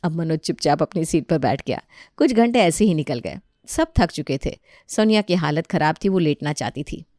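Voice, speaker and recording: A woman's voice: low voice, expressive, normal speed, mellow, dry recording, studio quality. A woman reading scripted narration of a comic family story as its narrator.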